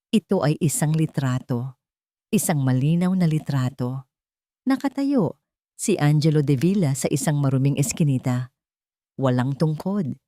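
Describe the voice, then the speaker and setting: A woman's voice: sits low, warm tone, dry recording, clean studio sound. A woman reading a scripted romantic drama as a storyteller, in a dramatic narrating style.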